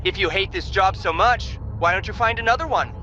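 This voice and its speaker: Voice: medium pitch, fairly quick, sharp tone, dull audio, slight background noise. A performer playing a smug, self-congratulating commanding officer heard over a transmission. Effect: radio transmission.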